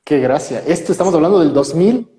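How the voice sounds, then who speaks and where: A man's voice: on the high side, animated, brisk, warm tone. A man, a priest, hosting an interview and asking questions in a friendly, enthusiastic way. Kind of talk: conversational interview. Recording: echoey room with long reverb.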